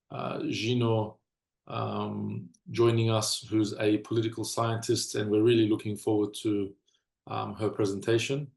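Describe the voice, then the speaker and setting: A man hosts an online panel, speaking earnestly and steadily with plenty of ums. His voice is gravelly and sits at an ordinary pitch. He sounds like he is in a reverberant room on a video call. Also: a little mellow. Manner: earnest, measured, with frequent ums. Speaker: host. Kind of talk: webinar introduction. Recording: echoey room.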